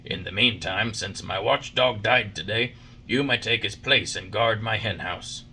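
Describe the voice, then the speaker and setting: Man playing a stern farmer: loud, rough, gravelly, scolding. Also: fairly low pitch, measured pace, slight background noise, heavily acted. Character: gruff, stern, angry grown male farmer.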